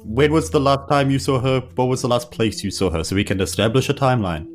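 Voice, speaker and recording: A man's voice: mid-range voice, fast talker, soft background music. A man with a New Zealand accent playing a tabletop game, improvising sardonic banter and questions in character.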